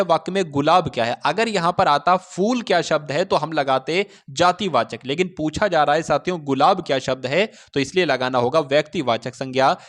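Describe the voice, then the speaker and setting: A man teaching an online exam-prep class, explaining step by step, putting questions to listeners and checking in with them. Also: on the high side. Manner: instructive, repeatedly asks questions and answers them himself; addresses listeners directly with repeated check-in phrases. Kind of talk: Hindi grammar lesson for exam aspirants.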